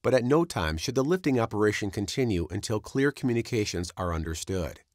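Low-pitched man narrating training material. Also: expressive, brisk, dry recording, clean studio sound. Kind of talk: scripted instructional narration.

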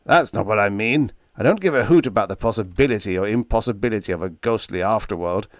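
A gruff old ship captain holds forth in a blunt, snapping manner, as a male narrator plays him. His tone is stubborn and blustery. The recording is dry and close. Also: on the low side, quick pace, no room sound, lightly acted. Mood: stern.

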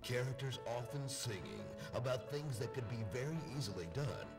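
A man narrating a movie-trailer parody in a booming, deadpan trailer voice. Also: moderate pace, gravelly. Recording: distant playback with loud music. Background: loud music.

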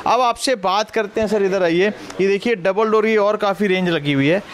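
A man with a Delhi Hindi accent and a high voice gives a brisk, persuasive sales pitch. He walks through a big electronics warehouse indoors and keeps calling the listener sir. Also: a little hiss or hum. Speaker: shop salesman.

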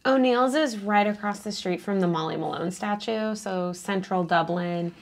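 Young woman with a gravelly edge. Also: medium pitch. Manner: brisk, practical, adds tips. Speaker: travel vlog co-host. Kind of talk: casual food recommendations to camera. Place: indoor home room with plants. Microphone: lapel mic.

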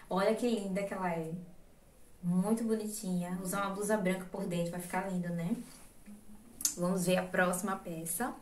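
A woman's voice: medium pitch, roomy sound, far from the mic, slight background noise. A young Brazilian woman in her twenties chats casually as she shows thrifted coats to the camera, checking in with her viewers. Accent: Brazilian Portuguese. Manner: chatty, affectionate, tag questions like "tá?". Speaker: vlogger. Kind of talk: casual thrift haul showing clothes. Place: small indoor room with clothes rack.

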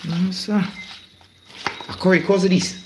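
A man's voice: sits high, steady tone, measured pace. A young man with a Jamaican accent, bantering casually as he cooks, picked up by a phone in a home kitchen. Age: young adult. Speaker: home cook. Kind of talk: casual banter while cooking. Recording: echoey noisy kitchen phone recording.

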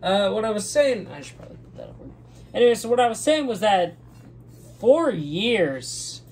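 Loud young guy hosting a channel update, bouncy lively voice, kinda high, faint hum behind. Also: moderate pace. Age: young adult. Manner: loud, excitable, laughs often. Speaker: channel co-host. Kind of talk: casual chat. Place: small room with green screen.

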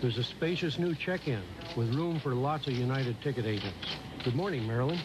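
A man's voice: medium pitch, expressive, a little fast, close to an everyday voice. A man playing a proud, assured construction company president in a scripted radio commercial. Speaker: commercial spokesman. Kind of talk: scripted airline radio commercial in a staged scene. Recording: noisy old radio commercial tape.

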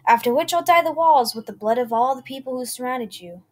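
A crazed male toy maker, a villain who speaks with eerie calm and then raves and growls with fury, as a woman puts him on in a horror story. His voice sits a little high and pushes hard with menace. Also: fairly quick. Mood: menacing.

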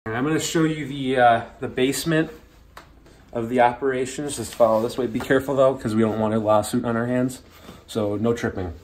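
A young man playing a bumbling, awkward league manager in a mockumentary, rambling with deadpan asides while giving a house tour. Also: medium pitch, a little fast, natural voice. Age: young adult. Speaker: mockumentary lead. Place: family house rooms and garage, indoors. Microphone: handheld camera a few feet off. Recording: roomy home echo, faint hiss.

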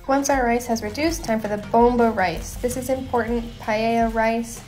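A young woman hosting her own home cooking show, talking through each step in a relaxed, chatty way with small asides. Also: on the high side, normal speed, faint music behind, low-quality recording. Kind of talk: casual cooking voice-over. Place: home kitchen.

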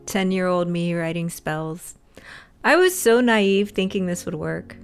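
A woman's voice: fairly low pitch, lively intonation, unhurried, clean tone. Brief: fierce young witch, brooding monologue, music under. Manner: intense inner monologue, bursts of anger. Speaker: lead character. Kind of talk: audio drama acting.

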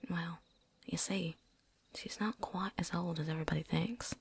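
A woman's voice: lowish voice, fairly even intonation, creaky, slight background noise. Woman acting whispering, folksy female vampire hunter. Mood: sly, confiding. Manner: folksy, rambling, with drawn-out asides. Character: chatty, cocky seasoned female vampire hunter, loner. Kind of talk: whispered ASMR roleplay acting.

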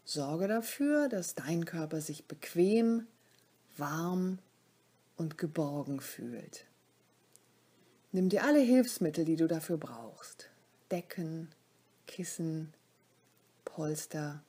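A woman leading a guided meditation as a yoga nidra teacher, speaking calmly and soothingly in short phrases with pauses, her voice soft, breathy and often close to a whisper. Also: low-pitched.

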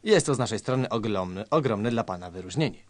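An actor playing a nervous, paranoid colonel, confiding and melodramatic, in a satirical radio sketch. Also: male voice, on the low side, expressive, a little fast, no echo, natural voice. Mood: grave, alarmed. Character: nervous, conspiratorial male colonel, paranoid and grave.

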